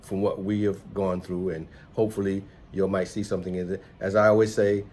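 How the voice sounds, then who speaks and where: Deep-voiced middle-aged American man. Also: level tone, brisk, a little mellow, slight background noise. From United States, New York City. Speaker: host, entrepreneur and musician. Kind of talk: casual conversational vlog chat.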